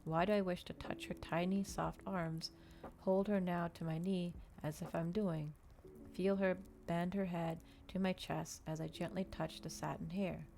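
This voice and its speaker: A woman reading a story aloud in ASMR style, with a measured, dramatic delivery in short phrases. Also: lowish voice, steady tone, darkish tone. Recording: clear background ambient noise.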